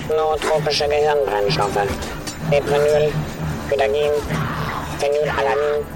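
A man's voice: on the high side, fairly even intonation, unhurried, music in the background, natural voice. A man playing an unflappable computer assistant in a radio drama, reciting data in a clipped, impassive way. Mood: clipped, factual.

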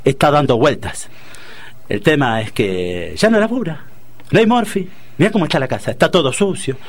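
An actor plays a middle-aged Argentine man abandoned in love, stubborn and full of self-pity. His voice sits a bit high and swings widely between grumbling and excitable outbursts. He talks in Rioplatense slang, in the broad manner of radio comedy. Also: normal speed. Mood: indignant, pleading. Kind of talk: comic radio play acting.

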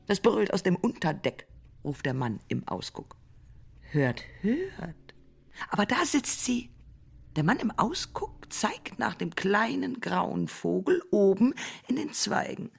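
A woman playing the storyteller of a whimsical fable in an experimental radio play, theatrical and deliberate, sometimes dropping to a whisper. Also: mid-range voice, very animated, vocal fry, exaggerated voice. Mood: hushed. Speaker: narrator. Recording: loud music behind the voice. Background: music.

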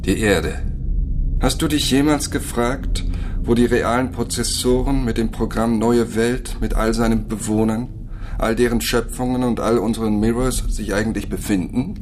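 An actor playing a cold, patient overseer scientist of a virtual world in a German radio drama, lecturing in a measured, condescending way. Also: male voice, medium pitch, fairly even intonation, darkish tone, a little hiss or hum, natural voice. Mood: grave, lecturing.

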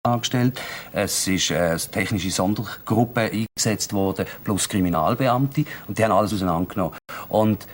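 A man explaining a case in an old TV interview, growing animated when he stresses a point. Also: fairly low pitch, moderate pace, a little hiss or hum. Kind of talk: archival TV interview.

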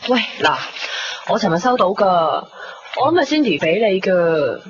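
A woman's voice: mid-range voice, big pitch swings, moderate pace, close to an everyday voice. A woman acts a breezy, teasing friend in a Hong Kong Cantonese radio drama. Her intonation swings widely. The sound is dull, with faint room noise behind. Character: breezy, teasing friend visiting a hospital patient. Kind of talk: 1990s radio drama acting.

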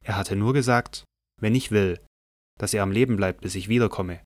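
A man with a low voice narrates scripture for an audio drama. He reads from a script as the storyteller. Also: moderate pace, no echo.

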